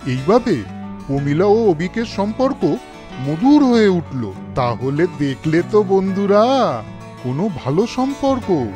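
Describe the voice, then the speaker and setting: A man's voice: high voice, very animated, measured pace, dark tone. Man narrating a story over music. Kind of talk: scripted children's story narration.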